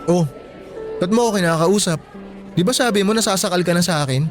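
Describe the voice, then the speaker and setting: A man's voice: high voice, a little fast, loud music behind the voice, natural voice. A man acting a guarded, resentful teenage student ex-boyfriend in a radio drama, speaking curtly. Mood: cold, resentful.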